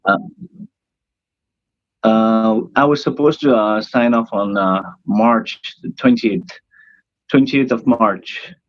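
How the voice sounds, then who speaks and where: A man's voice: on the low side, takes it slowly, long pauses, no room sound, lo-fi sound. A young man from northern India whose first language is Nepali, a cruise ship band singer talking hesitantly with many fillers in a casual interview, his voice tired and worn.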